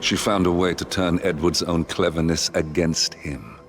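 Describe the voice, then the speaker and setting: A man's voice: sits low, steady tone, moderate pace, soft background music. An actor playing a firm, steadying male ally in a video game, talking in a blunt, urging way. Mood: firm, reassuring. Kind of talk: cinematic video game acting.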